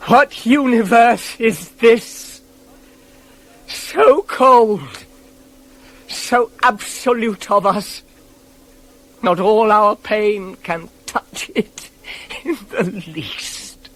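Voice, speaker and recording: An actor playing a proud, bitter young prince dying in agony in a classical radio tragedy, declaiming between hushed gasps and cries. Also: male voice, high-pitched, very slow, full character voice, poor audio. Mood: despairing. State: weak and in agony. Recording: hissy 1980s cassette of radio play.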